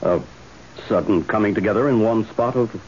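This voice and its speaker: Actor playing a deep-voiced, rational radio doctor. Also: male voice, darkish tone, close to an everyday voice. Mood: calm, grave. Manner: measured, explanatory. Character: calm, rational, authoritative male doctor. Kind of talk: old-time radio drama acting. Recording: hissy, muffled old radio broadcast.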